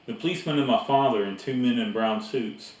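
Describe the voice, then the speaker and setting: A man's voice: mid-range voice, fairly quick, darkish tone. A man reading a storybook aloud as narrator. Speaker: reader. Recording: echoey room, distant mic, faint noise.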